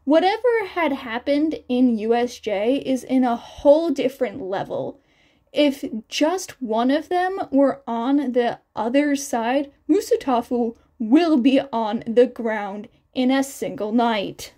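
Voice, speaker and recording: A woman's voice: sits high, lively intonation, slow pace, mellow. A woman reading scripted fan fiction narration as the narrator of an audio story. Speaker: podfic narrator.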